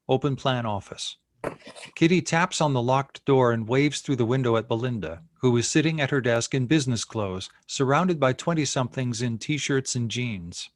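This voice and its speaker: Male narrator, mid-pitched, scripted screenplay reading, dry recording. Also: no echo. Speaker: stage directions narrator.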